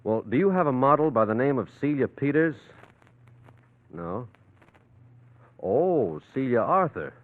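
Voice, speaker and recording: A man playing a brisk, confident private detective in a 1940s radio drama, terse and breezy as he probes. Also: medium pitch, big pitch swings. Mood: smooth inquiring. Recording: tinny, narrow-band old radio recording.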